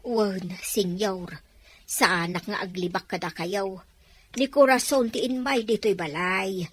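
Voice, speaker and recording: Woman acting a slow-spoken, deferential maid. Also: medium pitch, a bit bright, slightly rough. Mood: nervous. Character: deferential, chatty household maid. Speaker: voice actor in an audio drama. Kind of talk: radio drama acting.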